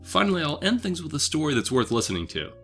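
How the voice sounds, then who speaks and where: A man's voice: fairly high pitch, very animated, quick pace, fairly bright tone, soft background music. A man hosting a dividend investing channel, reading a scripted, conversational explainer while slightly under the weather. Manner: upbeat, conversational, explaining step by step. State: slightly sick, voice a bit off.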